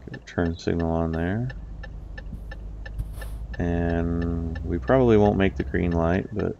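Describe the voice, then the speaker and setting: A man's voice: low voice, steady tone, takes it slowly, vocal fry, dark tone, lo-fi sound, faint music behind. A man from the US, stuffy and nasal from a cold, chatting in a rambling way with lots of ums as he plays a driving game. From United States. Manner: rambling, with many ums and uhs. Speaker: let's play host. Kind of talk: casual gameplay commentary.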